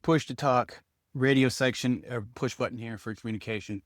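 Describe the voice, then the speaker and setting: A man talks informally to viewers as a product reviewer. His voice sits at an average male pitch, and he thinks aloud while handling the item. The sound is dry, with very little room in it. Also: fairly even intonation, moderate pace, no echo. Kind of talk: casual hands-on product review, speaking to viewers.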